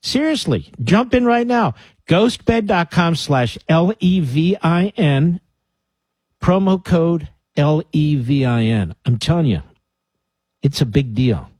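An older man runs a call-in radio show with a blunt, combative drive. His voice is slightly husky and sounds dry and close. He pushes each point hard. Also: a little high, expressive, slow pace, a little mellow, no echo. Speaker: radio talk show host. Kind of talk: talk radio, taking calls.

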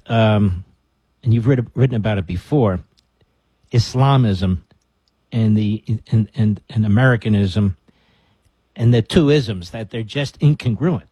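A man hosts a radio talk show. His voice is low and mellow with a husky edge. He asks probing questions and often stumbles over his restarts. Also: no echo. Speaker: radio host. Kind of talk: radio interview.

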